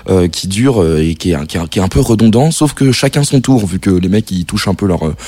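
A man's voice: low voice, lively intonation, brisk, dark tone, slightly rough, no room sound. A man visiting a radio studio as a guest, chatting with enthusiasm and slang. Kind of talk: casual radio chat.

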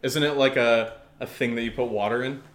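A man co-hosting a casual chat, excitable and goofy, jumping in with tangents. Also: medium pitch, a little fast. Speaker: podcast co-host. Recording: roomy, echoey indoor sound.

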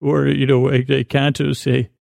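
A man hosting a bedtime podcast in a creaky voice, meandering and halting through a whimsical, self-deprecating monologue that often trails off mid-thought. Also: medium pitch, flat delivery, a little mellow, no room sound, clean studio sound. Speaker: podcast host.

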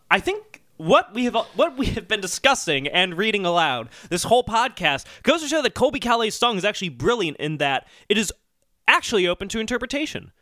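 High-voiced, very animated male podcast host. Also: dry recording, studio quality. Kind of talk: casual comedy podcast chat.

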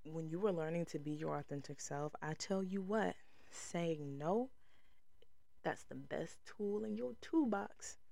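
A woman's voice: lowish voice, a little slow, gravelly, warm tone, no echo. A young woman hosting her own podcast, talking alone in a sassy, emphatic way with a voice that projects, often repeating a line for punch. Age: young adult. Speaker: podcast host. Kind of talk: solo podcast monologue.

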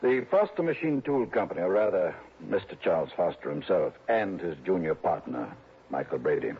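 A man's voice: low-pitched, expressive, moderate pace, dull audio. A man playing a fussy, stingy, pompous insurance executive in 1960s radio drama style. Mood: grave, businesslike. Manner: prim, precise, pompous. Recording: thin, noisy old radio recording.

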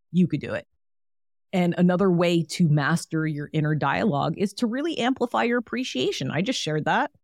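A woman's voice: fairly low pitch, a little mellow, dry recording. Woman podcast host, encouraging, casual, dry close sound. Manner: encouraging, chatty, frequent "like" fillers. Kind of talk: solo wellness podcast talk.

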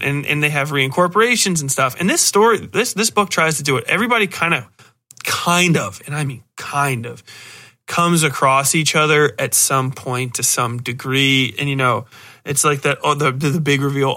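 A man's voice: on the high side, pushed and bright. A man hosting a podcast, giving a casual, rambling film review in a blunt, profane, sardonic way with exasperated asides. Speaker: podcast host reviewing horror films. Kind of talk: casual spoken movie review.

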